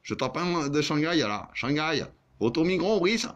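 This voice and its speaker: A man's voice: a little high, very animated, moderate pace, fairly bright tone, highs cut off, a little hiss or hum. A man chatting casually as an amateur radio operator, with crude, joking, sweary banter.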